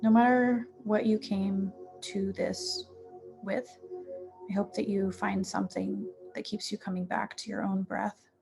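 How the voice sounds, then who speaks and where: A woman teaching yoga nidra, guiding a meditation in a soothing, unhurried manner with pauses between short phrases. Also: mid-range voice, little pitch movement, vocal fry. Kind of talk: guided meditation. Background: music.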